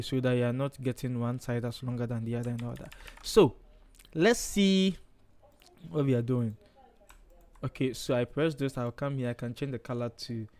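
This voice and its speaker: A man's voice: medium pitch, a little mellow. Ghanaian male host, casual thinking-aloud delivery, mid-range voice, typing audible in background. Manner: chatty, thinks aloud, frequent okays. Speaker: livestream host, designer. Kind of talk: casual live design tutorial.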